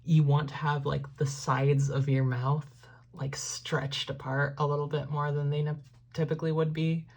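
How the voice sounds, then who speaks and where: A woman's voice: deep voice, level tone, moderate pace, gravelly, a little mellow. A woman musician casually explaining how she produces her vocals, rambling with many fillers and apologizing for not making sense. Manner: rambling, self-deprecating, lots of "like" and "um". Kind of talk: casual tutorial.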